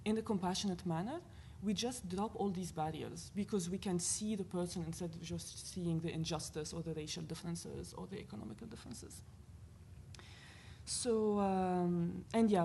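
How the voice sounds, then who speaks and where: A woman from Lebanon with a Lebanese accent, speaking as a panelist at a university event in an earnest, animated way, thinking aloud with fillers. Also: on the low side, moderate pace, gravelly, slight background noise. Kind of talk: panel discussion talk.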